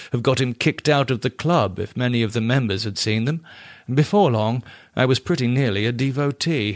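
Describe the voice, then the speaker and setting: A man reading a first-person horror story aloud in an expressive, deliberate storytelling manner. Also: mid-range voice, no room sound, clean studio sound. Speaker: audiobook reader, podcast narrator.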